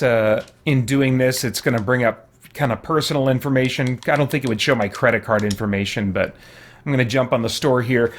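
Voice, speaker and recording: A man hosting a video game playthrough, musing and thinking aloud in casual commentary as if talking to himself. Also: medium pitch. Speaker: video game let's player, host.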